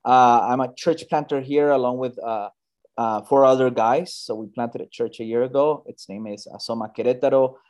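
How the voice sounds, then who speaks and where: A man in his thirties talks through his story as an interview guest. He speaks earnestly and conversationally, often pausing with fillers and restarting phrases mid-thought. His pitch sits in an ordinary middle range. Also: fairly even intonation, a little mellow. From United States, Bay Area. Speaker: church planter, guest.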